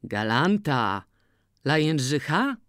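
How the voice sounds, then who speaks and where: Old stubborn farmer guy, low coaxing murmur, dry close storybook sound, reader doing it. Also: sing-song, slow pace, a bit bright, no echo. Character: older widowed farmer, stubborn and smitten. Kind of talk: audiobook character voice.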